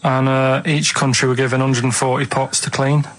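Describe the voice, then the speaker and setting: A man rambles through odd stories on a radio show. His voice sits at a middling pitch, and he talks in a casual, matter-of-fact way, often halting as he goes. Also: level tone.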